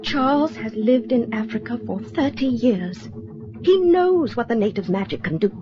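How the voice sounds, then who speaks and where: An actress playing a gentle, anxious wife in a radio drama, earnest and pleading, with a breathy voice. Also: female voice, on the high side, lively intonation, normal speed, background noise, lightly acted. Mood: fearful. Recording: dull radio recording.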